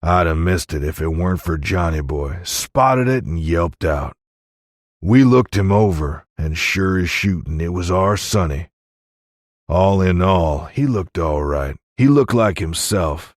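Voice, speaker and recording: Man acting a deep, grim Old West sheriff. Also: animated, no room sound, studio quality. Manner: slow, folksy, blunt.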